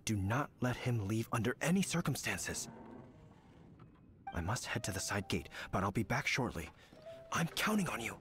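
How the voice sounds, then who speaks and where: An actor playing an earnest, dutiful young knight with a formal manner in a fantasy video game. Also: male voice, on the low side, big pitch swings, normal speed, pauses often, a bit bright, soft background music. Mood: hushed urgency.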